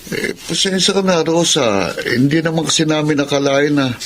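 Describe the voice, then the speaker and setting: A man's voice: fairly high pitch, normal speed, a little hiss or hum, lightly acted. A Filipino man playing a husband's apologetic drinking buddy in a radio drama. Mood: sheepish.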